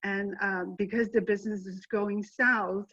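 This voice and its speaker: A woman's voice: medium pitch, fairly even intonation, a little slow, fairly bright tone, husky. Woman presenter, dull video-call sound. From southern China. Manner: earnest, repeats and restarts often. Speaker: guest presenter. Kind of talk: presenting on a webinar.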